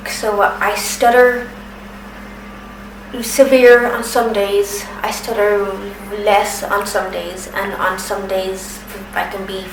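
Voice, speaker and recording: A woman in her thirties who stutters, speaking slowly with pauses and restarts in a personal testimony. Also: medium pitch, level tone. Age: 30s. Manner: stutters, with repeated sounds and restarts; slow, careful, with pauses. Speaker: documentary submitter. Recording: roomy, distant from the mic, noisy background.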